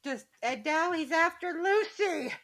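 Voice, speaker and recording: A woman co-host with a high, bright voice that moves all over in pitch. She jokes casually in slang, playful and emphatic. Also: expressive, a little slow. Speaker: podcast co-host. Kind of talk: casual joking chat.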